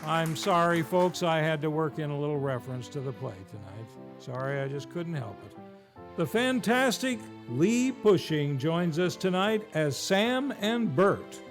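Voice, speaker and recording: A man's voice: a little high, expressive, unhurried. A man hosting a live vintage-style radio show with a showy, grandly enunciated announcer delivery. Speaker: host and announcer. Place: theater stage, live show. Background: faint music.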